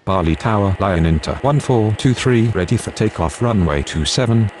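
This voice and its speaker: Low-voiced actor as clipped air traffic radio man. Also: animated, moderate pace, lightly acted. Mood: procedural. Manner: clipped, procedural. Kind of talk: scripted aviation radio phraseology.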